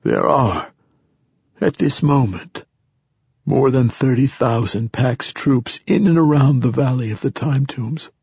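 Man acting an ancient raspy old poet, voice raised. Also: mid-range voice, animated, takes it slowly, a little mellow, no echo, clean studio sound, voice pushed up, full character voice. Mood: grave, insistent. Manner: tired, declamatory. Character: ancient, bedridden old poet, insistent prophetic schemer.

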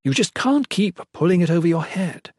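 A man's voice: high-pitched, big pitch swings, normal speed, darkish tone, husky, no echo, studio quality, voice pushed up, full character voice. A man voicing a fussy, exasperated young acolyte with prim, educated, scolding speech in an audiobook. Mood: bluntly prim. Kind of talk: audiobook character voice.

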